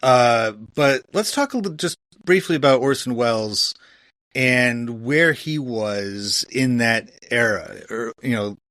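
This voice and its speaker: A man's voice: medium pitch, expressive, slow, a bit bright, no room sound, clean studio sound. A man hosting a podcast, talking casually and conversationally about history. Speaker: podcast host.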